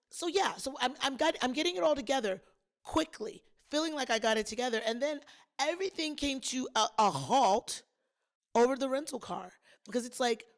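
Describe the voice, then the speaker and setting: Hoarse-ish lady podcast host, casual storytelling, talks right at you. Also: a little high. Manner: chatty and emphatic, talks straight to listeners. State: a little hoarse.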